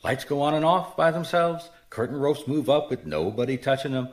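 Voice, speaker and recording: An actor playing a shrewd, skeptical private detective in a radio mystery, with a blunt, wry, questioning manner. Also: male voice, medium pitch, lively intonation, moderate pace, natural voice. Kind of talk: radio mystery drama acting.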